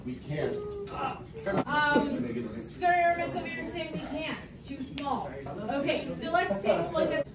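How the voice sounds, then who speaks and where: A woman lectures a chemistry class, her high voice swinging up and down as she fires questions at the students. She is picked up from far across an echoey classroom, with loud chatter of teenagers all around her. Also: big pitch swings, slow, gravelly, long pauses, over loud music. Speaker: chemistry teacher.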